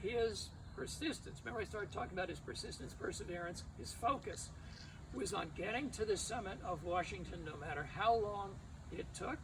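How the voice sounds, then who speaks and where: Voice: medium pitch, normal speed. A person giving an informal memorial tribute, telling anecdotes with emphatic repeated phrases. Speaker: speaker at a memorial service. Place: outdoors at a cemetery memorial gathering. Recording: distant outdoor recording with background noise.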